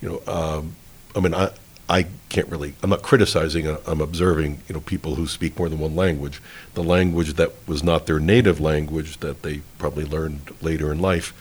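A man's voice: extremely low pitch, darkish tone, husky. A man hosting a casual conversational interview in a rambling, folksy, self-deprecating way. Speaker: interview host.

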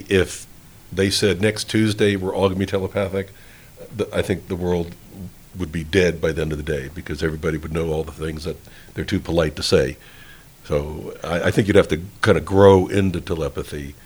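A man with a deep voice hosts a casual interview. He wanders through his questions in a folksy, rambling and self-deprecating manner. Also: fairly even intonation, normal speed, husky. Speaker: interview host.

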